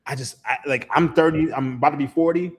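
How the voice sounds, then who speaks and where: A man's voice: on the high side, fast. A man, a podcast guest telling stories in casual chat, laughing and swearing freely. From Philadelphia, USA. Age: 30s. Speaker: podcast guest, sports writer.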